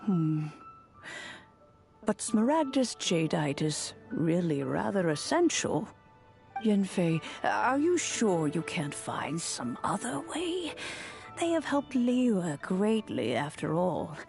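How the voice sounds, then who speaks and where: A woman voicing a kindly, dignified old immortal granny with a measured, formal, creaky delivery in a video game. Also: fairly low pitch, very animated, heavily acted. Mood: earnest. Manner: measured, formal, gently authoritative. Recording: game audio with music underneath.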